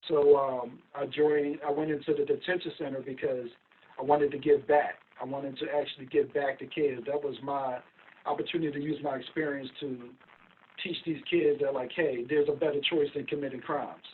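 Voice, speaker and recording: A middle-aged man from Philadelphia, a guest recounting his career in a measured, steady way with frequent ums. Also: mid-range voice, husky. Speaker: guest, investigation company owner. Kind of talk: casual interview over a call. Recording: tinny phone-like line, distant mic.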